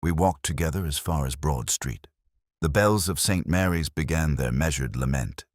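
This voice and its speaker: A man playing a weary, ageing English detective, narrating a literary drama in a measured, solemn, reflective way. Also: rumbling low, no echo, clean studio sound, heavily acted. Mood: somber, reflective. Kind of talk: first-person literary audio drama narration.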